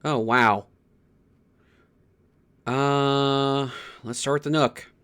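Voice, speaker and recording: Male game streamer, mid-pitched, casual think-aloud delivery with muttered, repeated words. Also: steady tone, very slow, a bit bright, clear, resonant tone.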